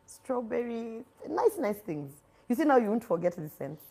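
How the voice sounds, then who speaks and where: A woman's voice: a little high, big pitch swings, moderate pace, vocal fry, warm tone. A middle-aged woman from Nairobi with a Kenyan accent, a guest chatting candidly and animatedly in English and Swahili. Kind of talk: casual interview chat.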